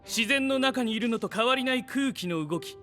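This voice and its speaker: A man's voice: very high voice, lively intonation, moderate pace, sharp tone, soft background music, exaggerated voice. A man playing a haughty, logical young scientist-knight in an audio drama, lecturing formally with cool self-assurance. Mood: self-assured. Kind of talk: anime-style audio drama acting.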